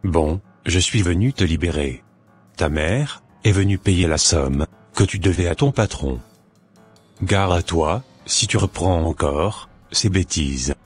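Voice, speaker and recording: Man acting cartoon cop, very deep voice, blunt, intimidating, accusing, faint background music. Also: slow pace, husky, full character voice. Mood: stern warning. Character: gruff, accusing male policeman; also easygoing friend. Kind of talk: amateur animated drama acting.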